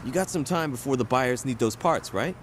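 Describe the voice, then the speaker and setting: A man's voice: medium pitch, lively intonation, a little fast, slight background noise, close to an everyday voice. Rambling flaky stoner mechanic, a man voicing him. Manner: loose, rambling, stammers. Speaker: mechanic, car parts dealer. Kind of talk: video game cutscene acting.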